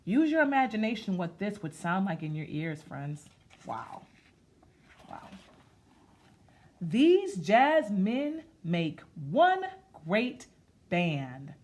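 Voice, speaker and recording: A woman hosting a children's storytime, reading aloud in an expressive, sing-song way and speaking to her listeners as friends. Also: on the high side. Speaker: storytime host reading a picture book aloud. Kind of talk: children's read-aloud with rhythmic sound effects and chatty asides.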